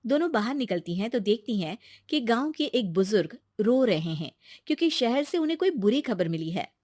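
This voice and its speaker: A woman's voice: fairly high pitch, very animated, moderate pace, a little mellow, dry recording, clean studio sound. A woman reading scripted narration for a children's moral story.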